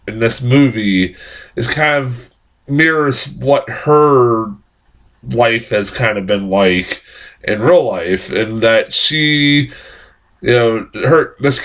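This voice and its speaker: A young man with a hoarse, nasal voice from a cold, casually rambling through a film review with lots of fillers and swearing. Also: mid-range voice, fairly bright tone. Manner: rambling, frequent fillers and profanity.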